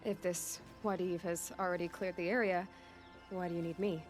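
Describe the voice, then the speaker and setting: An actress playing a determined young huntress in a video game, speaking plainly and asking direct questions. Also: female voice, on the low side, level tone, moderate pace, faint music behind, lightly acted. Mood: dry, direct. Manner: plain, direct, matter-of-fact. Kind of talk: video game cutscene dialogue acting.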